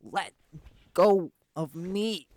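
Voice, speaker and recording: A man playing a defiant but frightened young male reporter held captive, speaking in a curt, strained, halting way in an audio drama. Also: high-pitched, darkish tone, no echo. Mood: angry defiance. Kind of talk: scripted audio roleplay drama.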